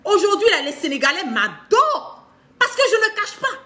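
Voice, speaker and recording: A middle-aged woman with an Ivorian accent speaks in an extremely high voice with lively, swinging intonation. She delivers an impassioned live-stream commentary from a room at home, hammering points through emphatic repetition. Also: normal speed. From Ivory Coast. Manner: emphatic, repeats phrases for stress, animated with hand gestures. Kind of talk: impassioned, unscripted monologue to camera. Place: indoor living room.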